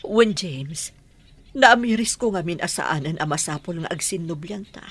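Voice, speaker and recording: A woman's voice: on the low side, animated, moderate pace, husky. A woman playing an earnest, remorseful middle-aged woman making peace in a radio drama, with an Ilocano Filipino accent. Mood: remorseful, pleading.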